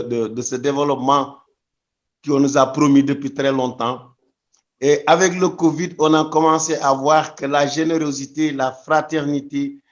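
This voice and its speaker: A man from Senegal answers interview questions thoughtfully at a medium pitch, often restarting his phrases. The recording sounds dull and lo-fi. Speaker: guest.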